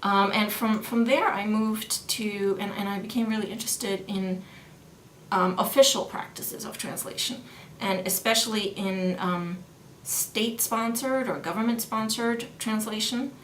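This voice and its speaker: A woman's voice: medium pitch, steady tone, a little slow, fairly bright tone. A middle-aged woman, a German studies professor born in New York and raised in Vienna, explaining her research thoughtfully in an interview, with many fillers and restarts. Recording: roomy sound with faint background noise.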